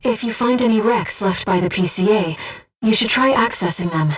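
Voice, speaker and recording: A woman voicing a gentle, earnest, disembodied female companion in a video game, guiding a pilot with composed, precise callouts and briefings. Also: mid-range voice, moderate pace, breathy edge, distant mic, close to an everyday voice. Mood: composed, informative. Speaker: mission support companion.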